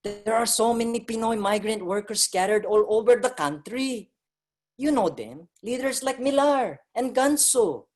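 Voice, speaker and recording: A man's voice: very high voice, a little slow. An actor, a man, playing an earnest, determined young Filipino migrant laborer who narrates a staged drama. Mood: urgent, rallying. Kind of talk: dramatic staged reading, first-person narration. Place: virtual live event, remote reading.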